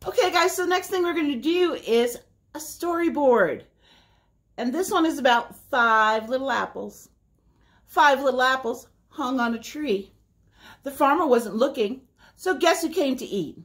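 A middle-aged woman hosting a children's story time, reading aloud in a deliberate, sing-song way. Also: high-pitched, expressive, a bit bright. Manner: slow, deliberate, sing-song repetition for young listeners. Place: small indoor room by a window.

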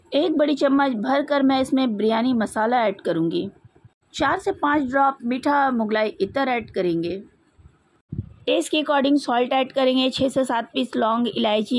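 High-pitched Indian woman giving cooking instructions. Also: no echo. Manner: instructional, methodical. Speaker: home cook presenting a recipe. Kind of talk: step-by-step cooking tutorial narration.

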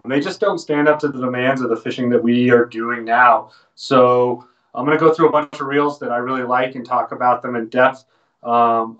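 The sound is a little distant and dull, as from a webcam in a small shop room. An American man in his thirties talks informally and informatively at a medium pitch. He comes across as a presenter explaining things on a casual livestream. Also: fairly bright tone. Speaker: fly fishing shop presenter.

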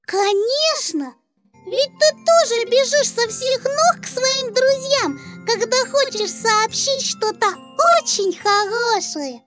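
A woman voicing a gentle childlike angel puppet who tells Bible stories to children in a deliberate, expressive, instructive way. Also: very high voice, faint music behind, heavily acted. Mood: earnest storytelling. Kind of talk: children's storytelling.

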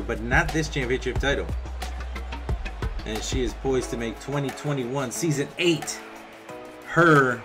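A young man doing play-by-play commentary over a wrestling video game, chatty and casual between calls and getting excited on the big moves. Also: medium pitch. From Puerto Rican background. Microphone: home microphone, voice-over on game footage.

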